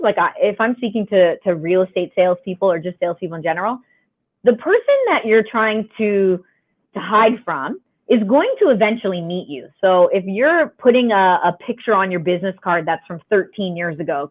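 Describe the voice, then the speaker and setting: Raspy-voiced woman on a tinny line. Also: mid-range voice, animated, brisk. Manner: frank, chatty, laughs often. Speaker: podcast guest. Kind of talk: candid conversational storytelling. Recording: tinny phone-line sound.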